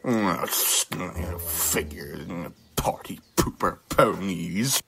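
A woman's voice: extremely low pitch, extremely slow, creaky, fairly bright tone, a little hiss or hum, heavily acted. A woman playing a sly, pompous, mischievous chaos creature in a fan cartoon, drawling with mock grandeur and sarcasm. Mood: sulky.

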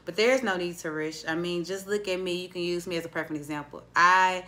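A woman in her late twenties hosting a casual podcast interview, chatty and expressive, playfully teasing her guest, recorded on a studio mic in a small room. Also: on the low side, a little fast. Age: 20s. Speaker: podcast host. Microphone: studio mic on boom arm.

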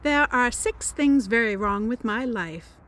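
A woman's voice: on the high side, unhurried, fairly bright tone, slight background noise. An American woman reading aloud as a cheeky, self-absorbed teenage girl diarist, with a wry, melodramatic manner. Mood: melodramatic complaining. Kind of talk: expressive first-person audiobook-style reading.

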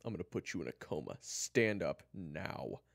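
Man voicing a loud, hot-headed schoolboy, gravelly edge. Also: low-pitched, sing-song, normal speed, no echo, clean studio sound, voice pushed up, exaggerated voice. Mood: threatening, angry. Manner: forceful, confrontational, sarcastic.

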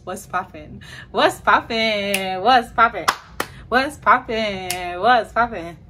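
A woman's voice: medium pitch, takes it slowly, noisy background. A young woman from New York chatting to camera in her bedroom, talking casually with slang and playful asides. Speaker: hair vlogger. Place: small bedroom, indoors.

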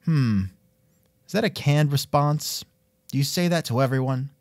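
A man's voice: medium pitch, normal speed, darkish tone, dry recording, studio quality. A man hosting a scripted comedic interview, with a wry, joking manner. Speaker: podcast host and interviewer.

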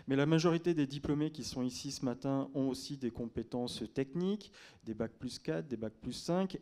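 Middle-aged male journalist moderating on stage, relaxed warm timbre, asking questions. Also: mid-range voice. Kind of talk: panel questioning. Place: conference auditorium stage. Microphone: handheld microphone.

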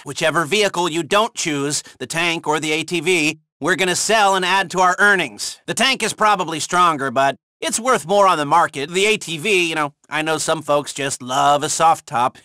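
An actor playing a jittery, chatty heist planner and hacker in a video game, given to swearing and wisecracks. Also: male voice, high-pitched, sharp tone, no room sound, studio quality. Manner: nervy, chatty, swears, laughs at own quips. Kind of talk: video game mission dialogue.

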